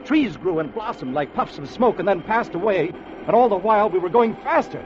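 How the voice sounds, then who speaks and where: An urgent, theatrical narrator of a radio adventure. His voice sits fairly high, on a muffled vintage recording with clear noise behind it. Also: lively intonation, brisk. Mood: breathless wonder. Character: dramatic male storyteller of an adventure. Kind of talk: 1950s radio drama narration.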